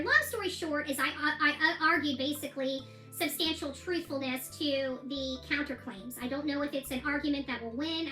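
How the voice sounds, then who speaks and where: A high, bright American female voice in an echoey room with some music behind. She talks casually and gives her opinions, circling back to repeat her points for emphasis. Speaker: self-represented litigant recounting her court case. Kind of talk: casual video diary monologue.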